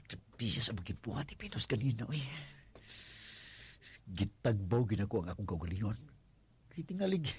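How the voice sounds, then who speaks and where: An actor with a Visayan Filipino accent playing a worried, devoted husband in a radio drama, sighing and pleading. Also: male voice, mid-range voice, a little mellow, husky, exaggerated voice. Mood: weary, lonesome. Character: worried, long-suffering, devoted husband narrating his story.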